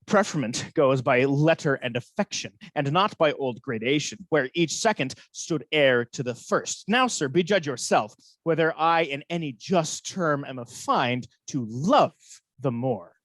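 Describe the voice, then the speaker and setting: A man's voice: on the high side, animated, measured pace, few pauses, fairly bright tone, dry recording. A man playing a bitter, scheming military ensign in a Shakespeare radio play, theatrical and sardonic. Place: recorded at home over a video call.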